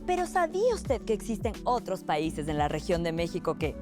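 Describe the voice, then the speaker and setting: A woman's voice: fairly low pitch, big pitch swings, moderate pace. A woman doing mock documentary narration in a polished presenter tone for a comedy sketch. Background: background music.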